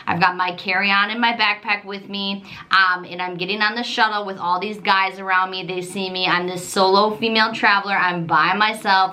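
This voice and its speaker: A woman from Michigan with a Midwestern accent with a Southern trace, telling a travel story to camera in a chatty, rambling way. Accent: Midwestern American with a trace of Southern. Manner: chatty and animated, lots of fillers and repetition, jumps pitch when quoting herself. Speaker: travel vlogger.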